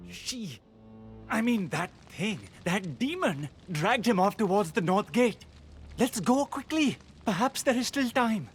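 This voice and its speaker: An actor playing a battle-worn, grieving soldier in a video game, with a halting, solemn dramatic delivery. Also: male voice, sits high, full character voice. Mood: shaken, distraught, then desperate urgency. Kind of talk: video game character acting. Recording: loud music behind.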